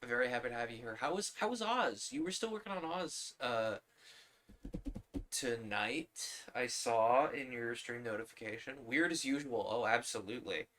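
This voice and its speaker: A man with a bright, forward voice at an ordinary male pitch hosts a casual livestream. He rambles conversationally, drifting between talking to his chat and muttering to himself. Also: lively intonation, normal speed. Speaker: livestream host.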